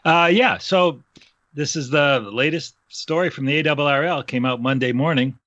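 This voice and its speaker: A man's voice: a little high, moderate pace, background noise. An American man casually discussing news as a podcast panelist, over a dull, limited-sounding connection.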